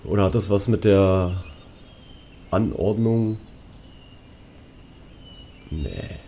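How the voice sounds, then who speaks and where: A man's voice: low voice, expressive, drawn-out words, gravelly, warm tone, a little hiss or hum. A man giving casual gameplay commentary in speech, musing aloud as he works through puzzles. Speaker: let's player. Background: game music.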